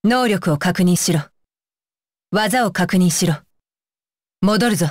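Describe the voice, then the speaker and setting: A woman's voice: fairly low pitch, slow, no echo, clean studio sound. A woman voicing a stern, dutiful young female ninja bodyguard for an anime game, with clipped, commanding and blunt phrasing. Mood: firm, composed. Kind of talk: Japanese anime game voice lines.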